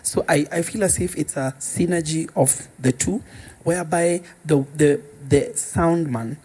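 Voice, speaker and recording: A man's voice: on the high side, measured pace, dark tone, slightly rough, rough recording. A man with a Kenyan accent chatting casually as a podcast guest, explaining his points emphatically.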